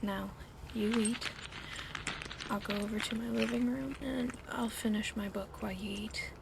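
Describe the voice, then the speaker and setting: A woman whispering softly in an ASMR roleplay, caring but gently bossy, recorded close to the mic at home with a fan running behind her. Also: fairly high pitch, fairly even intonation, measured pace, gravelly. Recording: fan noise in background.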